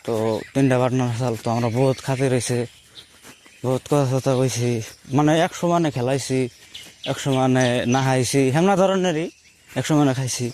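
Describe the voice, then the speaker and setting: A man's voice: mid-range voice, normal speed, pauses often, darkish tone, a little hiss or hum. A young man speaking a rural Assam Bengali dialect, casually telling personal stories in a rambling way, circling back to repeat and rephrase himself. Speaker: storyteller recounting his own life.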